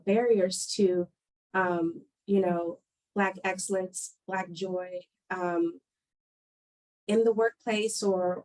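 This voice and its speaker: Measured woman moderating a panel. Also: fairly low pitch, a little mellow, poor audio. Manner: measured, explanatory, frequent fillers. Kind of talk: panel discussion.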